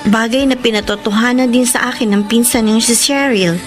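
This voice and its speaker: A woman's voice: fairly high pitch, steady tone, brisk, close to an everyday voice. An actress with a Filipino accent playing a polite, hurt young woman in a radio drama, respectful and hesitant. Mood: sad, confiding. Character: polite, hurt young woman, troubled girlfriend writing a letter. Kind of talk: Tagalog radio drama acting. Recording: music under the voice.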